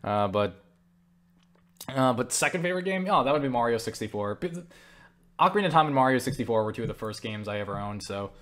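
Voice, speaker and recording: A man's voice: mid-range voice, animated, quick pace, fairly bright tone. A man talking in a casual, rambling monologue as he answers viewer questions, stumbling over words, interrupting himself and speaking bluntly. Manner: blunt, swears freely.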